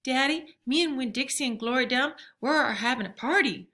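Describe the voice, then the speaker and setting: Eager little storybook girl, acted by a woman, excitable and pleading, with a bright, high voice. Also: moderate pace. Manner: excitable, pleading, childlike folksy phrasing. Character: eager, pleading young girl planning a party.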